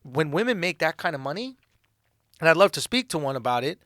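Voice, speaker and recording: A man with a high, bright voice hosts a comedy podcast. He riffs brashly in loose slang and swears freely. The sound is close and dry. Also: brisk, dry recording. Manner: brash, animated riffing with slang and profanity. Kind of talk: casual comedic podcast banter.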